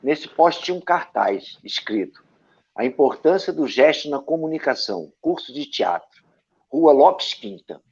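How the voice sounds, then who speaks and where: A man from Brazil, an actor and director, telling stories from his career as an interview guest, with a lively anecdotal manner and frequent tag questions. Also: a little high, a little slow, husky. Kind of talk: storytelling interview answers. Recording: dull lo-fi audio.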